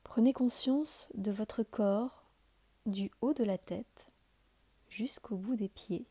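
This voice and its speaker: Mellow-voiced woman guiding a calm meditation. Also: mid-range voice, breathy edge. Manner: calm, soothing, deliberate delivery with pauses. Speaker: yoga nidra instructor. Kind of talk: guided meditation, measured scripted instruction.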